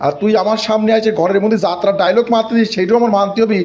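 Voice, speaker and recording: A man's voice: sits high, fairly even intonation, very fast, a little mellow, close to an everyday voice. A man voicing a hot-tempered older villager in a comic cartoon, emphatic and colloquial, with a rural Bangladeshi accent. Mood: angry scolding.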